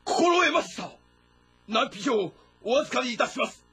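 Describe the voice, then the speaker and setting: A man playing a forceful, loyal military general in a historical game drama, formal and emphatic. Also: very high voice, animated, normal speed, faint music behind, full character voice. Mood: fierce, resolute.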